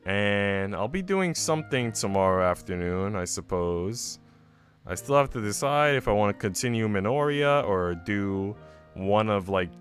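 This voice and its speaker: A man's voice: lowish voice, fairly bright tone. A man chatting casually and ramblingly to viewers as a game streamer. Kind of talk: casual livestream chat. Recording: music playing behind the voice. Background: background music.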